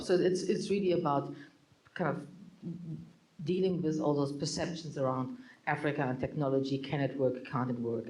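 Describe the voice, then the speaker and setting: A man's voice: mid-range voice, a little mellow, rough recording. A man with a German accent, a venture capital partner speaking on a conference panel, joking and conversational with hesitant fillers. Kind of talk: panel discussion.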